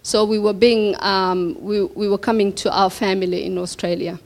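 A woman in her forties from Somalia, giving a keynote speech about her life to an audience in a hall, reflective and full of pauses. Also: medium pitch, fairly even intonation. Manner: slow, reflective, with many pauses and fillers. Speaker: keynote speaker. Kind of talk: personal story speech. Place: event hall before an audience.

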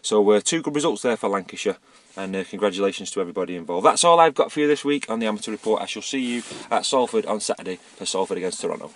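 A man's voice: fairly low pitch, racing. Man with a fading voice, reading results. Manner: steady list-reading with small stumbles. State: losing his voice. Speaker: amateur rugby league reporter.

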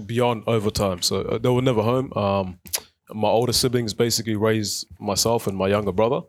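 A young man from South Sudan speaks as a guest on community radio. His voice sits low, and he answers thoughtfully, pausing on fillers and restarting his sentences. The studio sound is close and dry. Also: dry recording. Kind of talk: radio interview, personal story.

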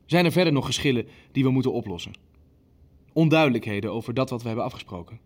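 A man playing a self-assured, coldly formal Nazi chairman in a Dutch radio play, speaking in measured phrases. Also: medium pitch, lively intonation, lightly acted. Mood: formal, satisfied. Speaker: meeting chairman.

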